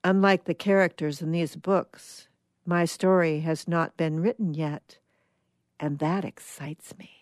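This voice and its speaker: A woman's voice: on the low side, steady tone, a little slow, no room sound, lightly acted. A woman voicing a reflective, hopeful survivor about to leave Earth, earnest, in a short story reading.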